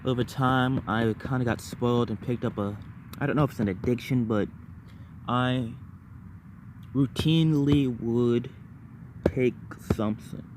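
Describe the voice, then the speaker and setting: A man's voice: mid-range voice, a little slow, pauses often, noisy background. A man telling personal stories casually to viewers, rambling and repeating words and phrases in bursts. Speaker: vlogger.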